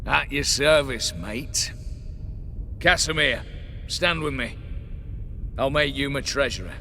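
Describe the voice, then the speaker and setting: A man's voice: mid-range voice, takes it slowly, sharp tone, slight background noise. An actor playing a sly, scheming young nobleman who speaks with smug mockery, recorded with long reverb.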